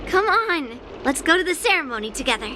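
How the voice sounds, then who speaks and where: A woman's voice: sits high, very animated, a little fast, background noise. A woman voicing a blunt, sword-loving young girl swordmaster in a game, speaking plainly and directly. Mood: curious, casual. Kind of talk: scripted game dialogue acting.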